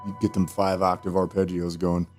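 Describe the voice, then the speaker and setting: A tired man talking in a laid-back, low-key way as he plays guitar on a live stream. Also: little pitch movement, normal speed. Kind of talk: casual chat.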